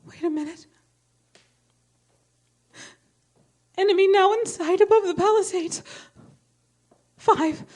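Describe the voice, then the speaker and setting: A woman playing a grave, frightened radio reporter giving an eyewitness bulletin in an invasion drama, halting and dramatic. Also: extremely high pitch, darkish tone. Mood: tense dread. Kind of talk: radio play news bulletin acting.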